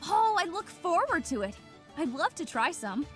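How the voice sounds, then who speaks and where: A woman's voice: very high voice, sing-song, normal speed, fairly bright tone, soft background music, exaggerated voice. A woman playing a cheerful, courteous young woman in a comic dub, with a light, playful manner. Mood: eager, playful. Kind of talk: scripted comic dub acting.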